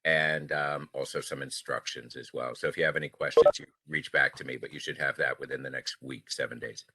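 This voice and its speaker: A man on the town staff giving brisk, practical instructions about a procedure. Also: a bass voice, steady tone, noisy background.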